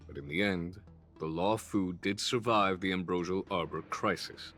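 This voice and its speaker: Game role: calm strategist general, male, low-pitched, formal and composed. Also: normal speed. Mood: composed, grave. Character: calm, calculating male general, weary and dignified. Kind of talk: video game voice acting. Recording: game dialogue over background music.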